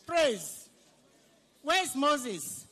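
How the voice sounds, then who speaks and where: High-voiced Nigerian man hosting in echoey hall. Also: very animated, drawn-out words, bright, forceful tone. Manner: playful, repeats himself, calls out to guests. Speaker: event master of ceremonies. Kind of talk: live hosting at a fundraising book launch. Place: large event hall, on a PA microphone. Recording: echoey hall public address.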